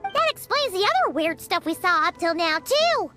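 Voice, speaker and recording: A woman voicing a tiny, excitable childlike fairy companion in a video game, with a squeaky voice and exclamatory delivery. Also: moderate pace, music in the background. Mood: knowing. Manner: exclamatory, refers to herself in third person. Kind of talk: video game character acting.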